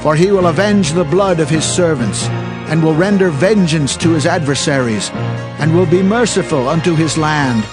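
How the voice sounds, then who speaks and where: An actor playing a fierce, majestic avenging God, declaiming emphatically in a dramatized scripture reading. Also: male voice, on the high side, moderate pace, natural voice. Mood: exultant. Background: music.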